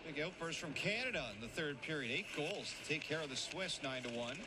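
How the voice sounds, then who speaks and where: Brisk play-by-play man, noisy arena. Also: a little high, lively intonation. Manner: brisk, running call of the play. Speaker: play-by-play commentator. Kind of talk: hockey play-by-play commentary. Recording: broadcast with loud arena noise.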